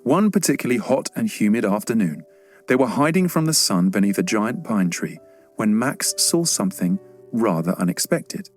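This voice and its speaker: Lower-voiced man narrating a children's story. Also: animated, normal speed, faint music behind. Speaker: audiobook narrator.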